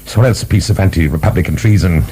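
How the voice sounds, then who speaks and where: A man's voice: deep voice, fast, mellow, a little hiss or hum, close to an everyday voice. A man acting in a radio drama as a proud, defensive middle-aged prisoner of the French Revolution, emphatic and formal. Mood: indignant.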